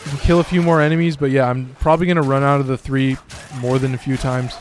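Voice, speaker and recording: Slightly hoarse man streaming a game. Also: medium pitch, flat delivery, fast talker, slight background noise. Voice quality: slightly raspy. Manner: loose, profane, chatty. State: a little hoarse. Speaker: gaming streamer. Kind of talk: casual gameplay commentary.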